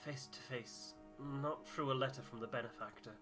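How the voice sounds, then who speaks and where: A man's voice: mid-range voice, close to an everyday voice. A man voicing an earnest, anxious expedition crewman from a video game, speaking sincerely and hesitantly. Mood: earnest, solemn. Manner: hesitant, sincere, halting phrasing. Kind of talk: voiced reading of video game dialogue. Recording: music behind the voice.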